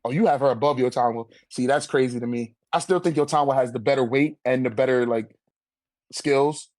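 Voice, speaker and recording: A man's voice: mid-range voice, fairly quick, a little mellow, no room sound. An American man chatting casually in a fan debate, swearing freely and leaning on slang and filler phrases. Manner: slangy, profane, repeats "like" and "you know what I'm saying".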